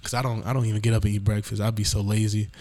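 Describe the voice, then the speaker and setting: A man's voice: fairly low pitch, little pitch movement, racing, warm tone. A young man co-hosting a podcast, talking candidly and informally in slangy everyday speech, like he is speaking to a close friend. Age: young adult. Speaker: podcast co-host. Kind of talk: casual chat.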